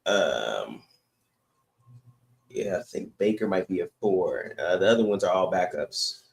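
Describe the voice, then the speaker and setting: A man hosting a sports podcast, chatting casually in a halting way with repeated words. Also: deep voice, sing-song, vocal fry.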